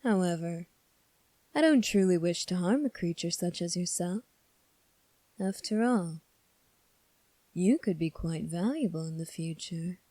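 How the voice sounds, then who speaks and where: A woman playing a calm, sly young prince in an ASMR roleplay, speaking in a measured, formal, teasing way. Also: on the low side, dry recording. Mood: smooth, teasing. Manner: measured, formal, coolly probing. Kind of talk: ASMR roleplay audio drama.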